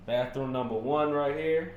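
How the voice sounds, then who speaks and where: A man's voice: medium pitch, moderate pace, phone-quality sound. Male vlog host, chatty slangy tour narration, noisy handheld camera sound. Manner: loose, repetitive, slangy running commentary. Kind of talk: casual tour commentary. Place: apartment and rooftop, indoors and out. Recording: noisy handheld video audio.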